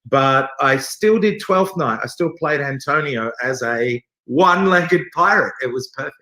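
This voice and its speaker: A man's voice: mid-range voice, expressive, measured pace, pushed and bright. A man in his forties, an actor being interviewed, telling casual anecdotes in a jokey, self-deprecating way. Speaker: interview guest, theater actor. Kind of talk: animated anecdotal storytelling in casual chat. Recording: roomy, echoey sound.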